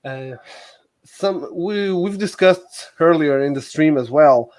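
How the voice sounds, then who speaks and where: A man hosting a livestream, putting questions to a guest in a casual, halting way. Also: a little high, darkish tone. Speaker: livestream host, interviewer. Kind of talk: casual interview chat.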